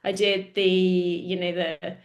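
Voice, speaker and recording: A woman's voice: fairly low pitch, flat delivery, slow pace, lo-fi sound. A woman in her thirties, a podcast guest chatting casually, laughing often and self-deprecating. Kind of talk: casual interview chat.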